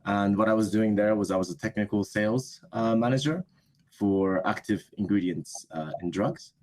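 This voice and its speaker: Thoughtful young man, lower voice. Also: moderate pace, a little mellow. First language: Japanese. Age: young adult. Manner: thoughtful, hesitant, self-correcting. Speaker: interview guest, business school student. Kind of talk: conversational interview answers.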